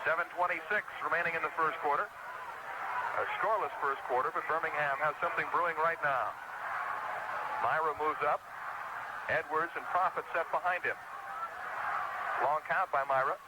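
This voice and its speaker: A man's voice: fairly high pitch, moderate pace, long pauses. An American man calling live football play-by-play on the radio in short, vivid, punchy bursts. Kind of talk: live radio sports commentary. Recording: very noisy old 1970s radio broadcast. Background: loud steady background noise.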